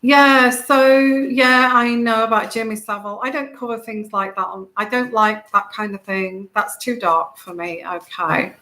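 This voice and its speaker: A woman with a soft, soothing voice, hosting a casual tarot livestream, rambling with many fillers and greeting viewers by name. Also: on the high side, fairly even intonation, measured pace, few pauses, reverberant. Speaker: tarot reader and channel host.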